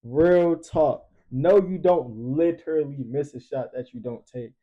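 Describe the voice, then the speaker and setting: A man's voice: fairly high pitch, unhurried, highs cut off. A twenty-year-old man talking casually and emphatically, preaching motivation in profane slang with repeated catchphrases. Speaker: podcast panelist.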